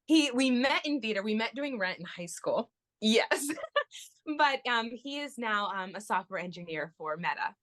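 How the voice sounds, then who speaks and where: A woman's voice: on the high side, animated, measured pace, a bit bright. A young woman from Florida, a touring musical theater performer, chatting as a guest in an interview and laughing often. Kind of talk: casual interview chat.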